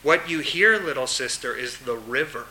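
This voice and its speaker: A man voicing a friendly female raccoon who acts as a calm, reassuring guide in a storybook fable. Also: medium pitch, moderate pace, a little hiss or hum, low-quality recording. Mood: calm, guiding.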